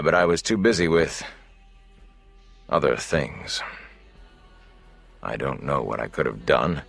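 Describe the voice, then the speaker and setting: A man voicing an old, gruff, world-weary travelling minstrel for a fantasy audiobook, with a deep gravelly voice. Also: fairly bright tone, full character voice. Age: older.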